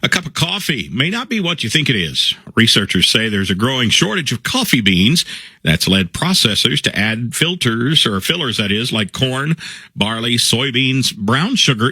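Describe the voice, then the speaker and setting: A man reading radio news headlines as the station anchor, in a steady announcer delivery. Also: mid-range voice, moderate pace, breathy edge, dry recording.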